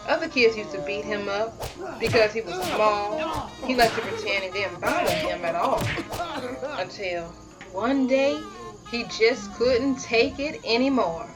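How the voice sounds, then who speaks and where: A woman reading a children's story aloud as host, expressive and dramatic, drawing out key words for emphasis. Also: mid-range voice, rough recording. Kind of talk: read-aloud children's picture book narration.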